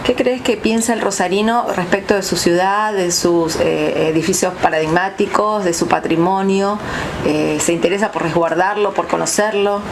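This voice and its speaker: Argentine woman interviewer, low voice. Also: steady tone, normal speed, noisy background. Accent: Rioplatense Argentine Spanish. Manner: supportive, finishes the guest's sentences. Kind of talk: interview questions and brief backchannels.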